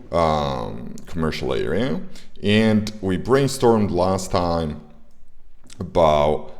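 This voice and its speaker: A man's voice: very deep voice, creaky. A young man with an Italian accent, hosting a casual game development livestream and talking with frequent hesitations. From Italy. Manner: hesitant, many fillers and restarts. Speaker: indie game developer streaming.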